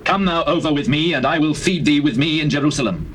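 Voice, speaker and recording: An actor playing a grave, commanding king in dramatized scripture, with deliberate declamatory speech. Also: fairly high pitch, a bit bright, close to an everyday voice. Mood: regal. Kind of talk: dramatized scripture, classical stage acting. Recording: noisy old cassette tape.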